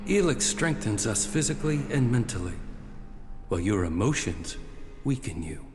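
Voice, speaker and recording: Low-voiced, wary rebel soldier. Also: male voice, very animated, noisy background. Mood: stern, measured. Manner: measured, formal, distrustful. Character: wary rebel soldiers of a cold, proud army. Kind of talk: video game cutscene acting. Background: music.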